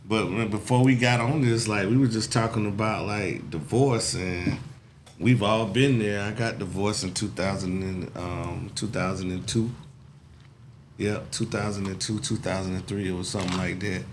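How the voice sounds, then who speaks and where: A man's voice: on the low side, steady tone, normal speed, background noise. A man in his forties hosting a casual talk show, rambling and laid-back, with "you know what I'm saying" as a frequent filler. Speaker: podcast host. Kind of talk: casual chat.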